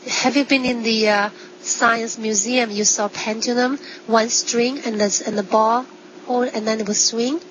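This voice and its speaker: Higher-pitched woman doctor explaining, slightly dull sound. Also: steady tone. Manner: simple non-native phrasing, short halting phrases. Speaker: physician, interview guest. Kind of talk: conversational explaining with simple examples. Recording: dull sound, faint background noise.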